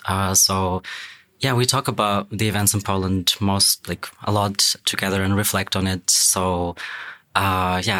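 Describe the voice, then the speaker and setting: Very low-voiced young man from Germany. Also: sharp tone. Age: young adult. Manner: hesitant, filler-heavy. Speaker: seminar participant.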